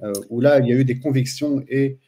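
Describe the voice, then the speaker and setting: A man in his forties from France, a teacher telling his story as a guest in a relaxed interview, pausing often with fillers. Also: medium pitch. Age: 40s. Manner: hesitant, frequent pauses and fillers. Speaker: guest, Islamic studies teacher. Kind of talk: casual interview chat.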